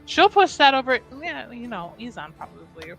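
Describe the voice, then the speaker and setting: Woman at a tabletop game, slow easy talk, voice bouncing around, faint music. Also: on the high side. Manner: unhurried, lively, describes her character in third person. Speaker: player. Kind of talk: casual tabletop play.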